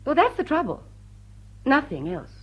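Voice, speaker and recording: A woman's voice: sits high, huge pitch swings, normal speed. An actress playing a poised, witty society lady, arch and mock-sweet as she coolly rebukes, in a vintage drawing-room radio comedy.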